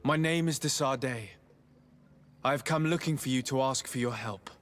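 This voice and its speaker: A man playing a courteous, composed young noble diplomat in a video game, speaking formally and with measured politeness. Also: medium pitch, faint music behind, close to an everyday voice. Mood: polite. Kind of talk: video game cutscene acting.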